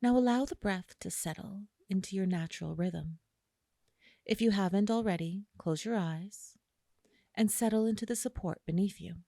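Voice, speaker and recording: A woman guiding a listener through an imagery meditation in a slow, soothing way, with long pauses. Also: mid-range voice, creaky, dark tone, no room sound, studio quality. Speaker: meditation guide. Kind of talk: guided imagery meditation narration.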